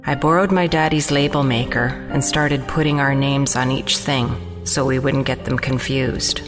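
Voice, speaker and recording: A woman narrates a scripted horror story in an exceptionally deep voice for a woman. Her reading has a long reverb tail and clear music underneath. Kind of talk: scripted first-person horror narration in a child's perspective.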